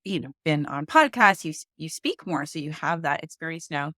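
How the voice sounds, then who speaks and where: A woman's voice: low voice, lively intonation, moderate pace, fairly bright tone, no echo, clean studio sound. A woman who co-hosts a book-discussion podcast and teaches speaking classes, talking earnestly and stressing her points. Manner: earnest and emphatic, repeats key points.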